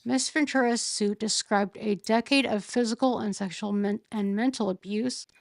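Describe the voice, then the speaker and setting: A hoarse woman reading a court filing aloud and pausing to think aloud between passages. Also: a little high, steady tone, dry recording, studio quality. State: hoarse, losing her voice. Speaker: true-crime commentator.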